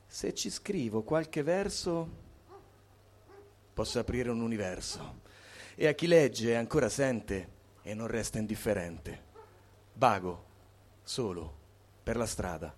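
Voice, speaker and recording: Male poetry reader, average pitch, live spoken-word delivery. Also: expressive, slow pace, long pauses. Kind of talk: live introduction and poetry reading.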